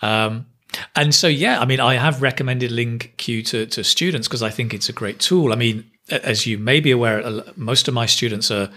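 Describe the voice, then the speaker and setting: A man's voice: mid-range voice, moderate pace, sharp tone. A man hosting an interview, a teacher who talks in a friendly way and often restarts his words. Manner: hesitant, repeats words, friendly. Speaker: interview host and English exam teacher. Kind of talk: interview conversation.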